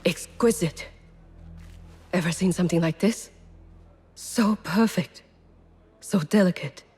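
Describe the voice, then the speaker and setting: A woman's voice: on the low side, slow pace, a little mellow, breathy edge, heavily acted. A proud, refined woman of wealth in a video game drama. She speaks with poised, imperious deliberation and a ruthless edge, over faint music. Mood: admiring, wistful. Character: proud, refined, ruthless self-made female treasurer.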